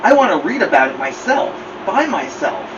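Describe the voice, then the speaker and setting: Man doing a high-pitched, shy little storybook girl. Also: very animated, moderate pace, distant mic, muffled recording, background noise. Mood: excited, delighted.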